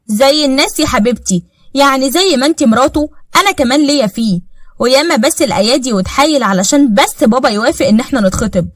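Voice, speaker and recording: A woman's voice: sits high, lively intonation, quick pace, no echo, studio quality, pitched above the performer's own voice. A woman with an Egyptian accent voicing a brazen young fiancée with a sarcastic, taunting manner. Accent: Egyptian Arabic.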